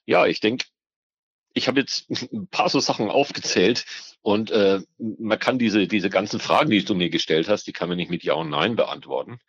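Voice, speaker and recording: Very deep, gravelly man explaining. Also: normal speed, no room sound, muffled recording. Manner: hesitant, searching, repeats words while explaining. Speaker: expert guest, biologist on ornamental fish trade. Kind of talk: spoken interview answers, explanatory.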